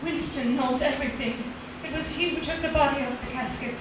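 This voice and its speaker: A woman acting an anguished, high-voiced radio-drama wife. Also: fairly even intonation, fairly quick, close to an everyday voice. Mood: urgent, pleading. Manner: earnest, grave, melodramatic. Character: anguished, conscience-stricken wife, resolute against dirty money. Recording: echoey, distant, noisy old radio recording.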